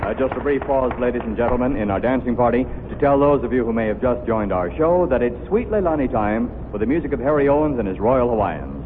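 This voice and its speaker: A man's voice: mid-range voice, expressive, fast talker, few pauses, background noise. A male radio announcer giving a formal station break on an old broadcast. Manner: formal, smooth announcer delivery. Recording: tinny old radio recording with reverb.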